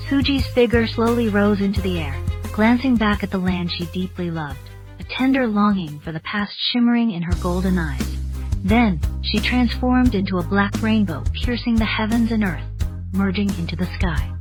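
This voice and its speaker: A woman delivering scripted narration of a fantasy story. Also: medium pitch.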